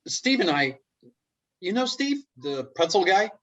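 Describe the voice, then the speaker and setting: A man plays an awkward, wry office worker in a stage comedy. His voice is husky and mid-pitched, with lively rises and falls. He talks slowly and haltingly, nervously hopeful. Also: highs cut off, full character voice. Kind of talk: comedic play table reading.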